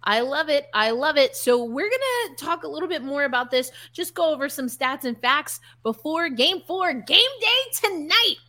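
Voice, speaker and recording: A woman's voice: high-pitched, animated, moderate pace, few pauses, sharp tone. A woman hosting a sports podcast in a conversational style, chatty and upbeat.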